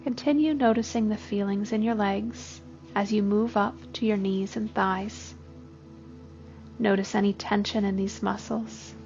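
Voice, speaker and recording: A woman gently leading a scripted guided body scan meditation, giving unhurried instructions as a therapist. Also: mid-range voice, fairly even intonation, lo-fi sound. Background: soft music.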